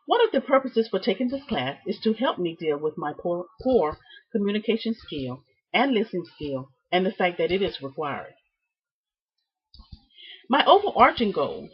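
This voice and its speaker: A woman giving a prepared student presentation, reading from notes with deliberate, emphatic phrasing and halting repeats. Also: mid-range voice, breathy edge. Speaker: student presenting a class project. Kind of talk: prepared speech, read from notes. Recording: dull, muffled lo-fi sound.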